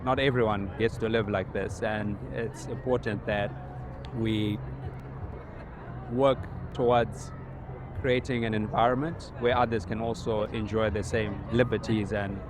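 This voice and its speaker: A man from Zimbabwe at an outdoor rally, speaking in a measured, earnest way with many pauses. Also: fairly low pitch, steady tone. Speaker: rally attendee. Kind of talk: street interview. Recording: street noise outdoors.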